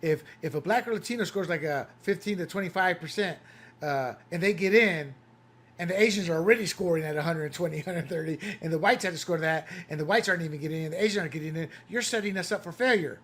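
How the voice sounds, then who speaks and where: A man's voice: a little high, quick pace, fairly bright tone. A man from Puerto Rico co-hosting a political podcast, talking in a heated, emphatic way with frequent restarts. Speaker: co-host.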